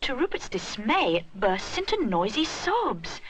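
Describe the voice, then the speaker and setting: A woman's voice: fairly high pitch, sing-song, measured pace, a little hiss or hum. A woman reading a scripted children's story expressively.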